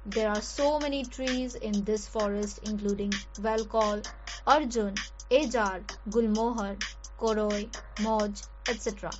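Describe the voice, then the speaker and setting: A woman's voice: medium pitch, fairly even intonation, unhurried, over music. A woman with an Indian accent co-hosting a radio show and presenting information. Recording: dull audio, faint background noise.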